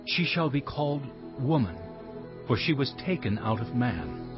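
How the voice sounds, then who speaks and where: The first man of a scripture audio drama, speaks with reverent wonder. His pace is very slow and his pitch moderate. The audio is a little muffled. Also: very animated. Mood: awed, tender. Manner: slow, savoring each phrase. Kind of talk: dramatized audio Bible acting. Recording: dull muffled audio under music.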